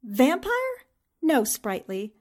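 A woman playing a sharp-witted, skeptical newspaper reporter in a 1940s-style radio drama, with a no-nonsense manner. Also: on the high side, sing-song, slow pace, faint music behind, heavily acted.

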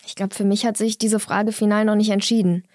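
A young woman speaks as an interview guest in a medium-pitched voice. She answers conversationally but bluntly, with firm opinions, recorded dry with hardly any room around her. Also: level tone, fairly quick, a little mellow, no room sound.

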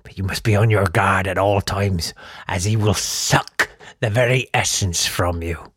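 A man's voice: low voice, fairly even intonation, unhurried, a bit bright, breathy edge, dry recording, clean studio sound, exaggerated voice. Man doing an anxious, superstitious village barkeep. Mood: scared warning. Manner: ominous, earnest warning.

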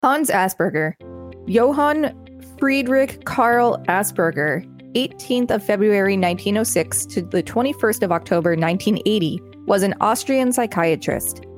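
Woman podcast co-host, mid-pitched, reading aloud with chatty asides. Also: lively intonation, moderate pace, soft background music. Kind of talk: reading an article aloud with casual commentary.

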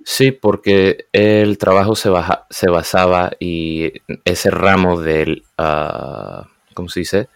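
A young man raised in the United States, speaking casually and often pausing to search for words. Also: low-pitched, gravelly, clear, resonant tone, no echo, studio quality. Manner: hesitant, searches for words, mixes in English. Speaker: guest. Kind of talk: casual conversation.

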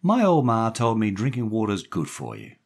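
A man's voice: lowish voice, wildly swooping pitch, moderate pace, vocal fry, slight background noise, voice pushed up, heavily acted. A man voicing a brassy, coaxing young American woman, a gangster's moll with slangy talk, in a story reading. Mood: chatty, sweet. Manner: slangy, coaxing, calls people honey.

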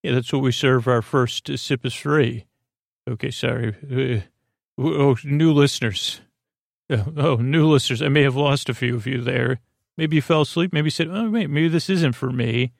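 A man speaks in a gravelly, creaky voice, close and dry with hardly any room sound. He hosts a bedtime podcast as a rambling, improvised monologue. His delivery is soothing and halting, wandering off into tangents. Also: medium pitch, no echo. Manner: meandering, halting, trails off into tangents; soothing, lulling. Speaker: podcast host.

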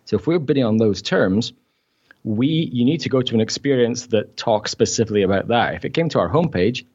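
A man's voice: medium pitch, animated, brisk, a little mellow, breathy edge, dull audio. A man in an interview, with a mixed British and Canadian accent, chatting easily, laughing often and using many fillers. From Scotland. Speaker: guest. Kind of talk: podcast interview.

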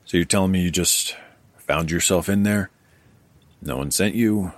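A man's voice: low-pitched, moderate pace, no echo. An actor playing a guarded, weary male survivor and chronicler in an audio drama, speaking in a hesitant, conversational way. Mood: guarded, curious.

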